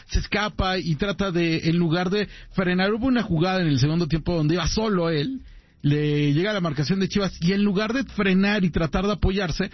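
A blunt, opinionated male sports pundit. His high, husky voice sounds dry and close. The lo-fi broadcast gives it a dull edge. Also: dry recording. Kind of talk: sports talk radio.

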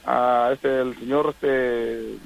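A man's voice: mid-range voice, fairly even intonation, very slow, vocal fry, a little hiss or hum, rough recording. A man from Oaxaca, Mexico, calling in to a radio show to send long greetings, rambling with many hesitant fillers. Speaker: radio caller. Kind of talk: casual phone-in greetings.

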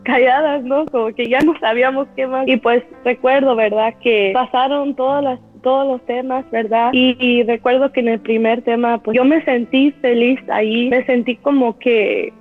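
A woman giving a personal faith testimony, earnest and halting, tagging many phrases with a question. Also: on the high side, fairly even intonation. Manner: earnest, halting, keeps adding "¿verdad?". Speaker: church member giving a testimony. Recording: tinny phone-like line with faint music.

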